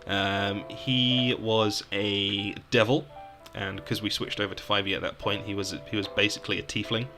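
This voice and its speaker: A man's voice: fairly low pitch, fairly even intonation, moderate pace, few pauses, bright, forceful tone, soft background music. A man from the UK co-hosting a casual podcast, rambling through stories about his old game characters with plenty of "um"s. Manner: rambling, storytelling, frequent "um". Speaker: podcast co-host. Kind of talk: casual chat about tabletop games.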